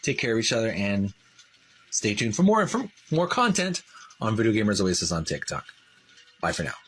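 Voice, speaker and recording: A man's voice: lowish voice, big pitch swings, moderate pace, a bit bright, slight background noise. Man hosting, reading aloud with earnest commentary. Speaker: video channel host.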